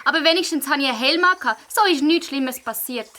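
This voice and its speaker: Woman playing a very high-pitched excitable young fox. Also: brisk. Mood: rueful. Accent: Swiss German. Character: young fox, keen hockey player, excitable and easily discouraged. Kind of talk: children's audio play acting.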